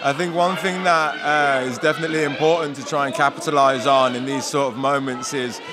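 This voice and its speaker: A man with a British accent co-commentating a kickboxing bout, reacting with quick, enthusiastic calls and often shouting. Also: mid-range voice, steady tone. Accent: British English. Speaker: fight co-commentator. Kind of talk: ringside commentary. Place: function hall at a kickboxing event. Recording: loud crowd and hall noise behind.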